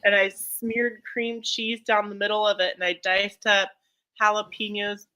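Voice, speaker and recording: A woman chatting casually on a livestream, talkative and quick to laugh. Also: medium pitch, flat delivery, sharp tone, rough recording. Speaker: livestream co-host. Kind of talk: casual chat.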